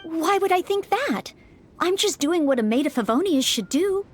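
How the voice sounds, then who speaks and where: A woman voicing a young, dutiful maid knight in a video game, gentle, courteous and formal in an anime style. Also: extremely high pitch, expressive, normal speed. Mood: earnest. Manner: polite, formal, eager to help. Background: game music.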